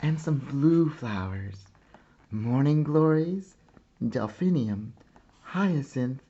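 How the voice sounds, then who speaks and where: A man reading a children's picture book aloud like a teacher, with deliberate, expressive phrasing and pauses. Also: medium pitch, breathy edge, muffled recording. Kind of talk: slow children's storybook read-aloud.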